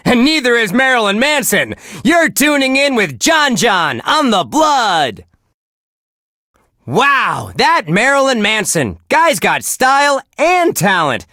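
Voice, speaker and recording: An actor playing a relentlessly cheerful, wholesome radio DJ in a video game, gushing with folksy exclamations. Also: male voice, extremely high pitch, bright, forceful tone, no room sound, studio quality, heavily acted. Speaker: radio DJ host.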